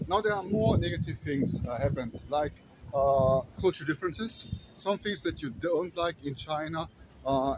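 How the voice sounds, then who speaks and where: Brief: thirties man with German accent, somewhat high voice, rambling walk-and-talk vlog, phone held at arm's length outdoors. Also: a little hiss or hum, poor audio. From Kazakhstan. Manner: rambling, many restarts and fillers, walking while talking. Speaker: vlogger. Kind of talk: casual walking vlog talk. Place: city streets at night, outdoors.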